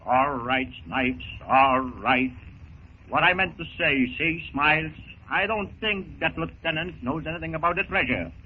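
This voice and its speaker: A man's voice: a little high, measured pace, narrow, tinny audio, close to an everyday voice. An actor playing a wry, grudging, scheming pirate henchman in a 1930s radio serial. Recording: thin, hissy 1930s radio recording.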